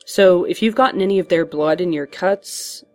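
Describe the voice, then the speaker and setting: Woman acting a tired male vigilante, dutiful, terse, urgent, lowish female register, audiobook story. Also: moderate pace, darkish tone, close to an everyday voice. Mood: guarded. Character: gruff exhausted male masked vigilante, dutiful and stubborn.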